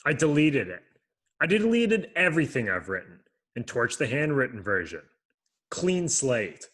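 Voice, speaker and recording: A man's voice: mid-range voice, very animated, moderate pace, a bit bright, husky. A man reading the part of a glum teenage boy at a screenplay table read, sulky and self-deprecating. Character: glum teenage boy, a discouraged young writer.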